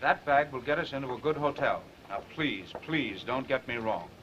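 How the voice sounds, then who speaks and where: A man's voice: mid-range voice, lively intonation, normal speed, a bit bright, lightly acted. An actor playing a brash, flirtatious, stubbornly protective newspaperman with an American accent, bantering in slangy, persistent 1930s film style. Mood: earnest, coaxing. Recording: muffled, hissy 1930s film soundtrack.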